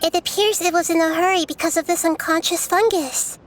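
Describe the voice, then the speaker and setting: High-voiced, gentle child goddess. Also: female voice, fairly even intonation, a little hiss or hum. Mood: calm, thoughtful. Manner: measured, thoughtful, explanatory. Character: small, childlike goddess of wisdom, gentle, thoughtful, curious. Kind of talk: anime video game voice acting.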